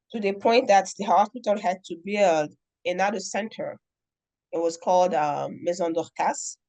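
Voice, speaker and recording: A middle-aged woman from the Democratic Republic of Congo, telling a story as a guest in an interview in an earnest, deliberate way with many pauses. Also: medium pitch, dark tone, poor audio. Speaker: guest, nonprofit founder.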